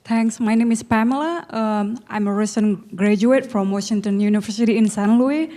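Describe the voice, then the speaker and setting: A woman from Indonesia asking a panel a question from the audience, halting with many fillers. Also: mid-range voice, warm tone.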